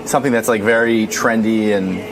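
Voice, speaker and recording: A man's voice: fairly low pitch, steady tone, brisk, background noise. An American man asking casual, probing questions as an interviewer.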